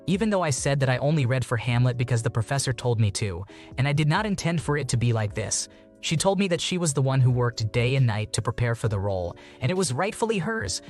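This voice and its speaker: A man narrating online stories in a mid-pitched voice that moves along quickly. Music plays softly behind him throughout. His delivery is even and practiced, with short comments between the stories. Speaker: narrator reading online posts. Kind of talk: scripted narration of forum stories with brief comments. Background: background music.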